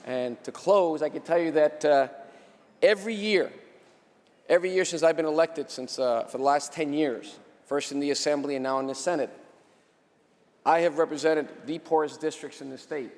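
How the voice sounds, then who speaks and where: A man, a state senator, delivering a halting floor speech with repeated phrases. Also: fairly high pitch, darkish tone. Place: large indoor legislative chamber. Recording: echoey hall with long reverb.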